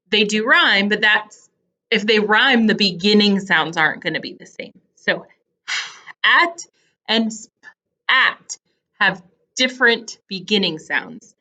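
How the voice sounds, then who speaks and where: A woman teacher giving a phonics lesson to young children, deliberately sounding out words in pieces and repeating questions to check understanding. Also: mid-range voice, sharp tone, rough recording. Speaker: early elementary teacher.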